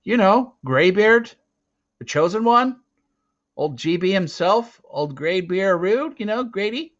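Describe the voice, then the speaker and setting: A frightened storybook boy, acted out by a man, pitched far above a grown man's talk. He pleads in a flustered, insistent way. The recording is dull and lo-fi. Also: expressive, takes it slowly, fairly bright tone, heavily acted. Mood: nervous insisting. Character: frightened teenage boy painter pleading his innocence. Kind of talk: storybook read-aloud character voice.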